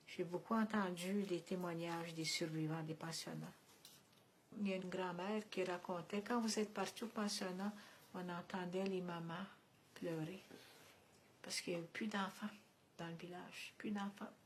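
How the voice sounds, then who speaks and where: A woman giving calm, reflective testimony as a residential school survivor. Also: fairly low pitch, normal speed, slight background noise.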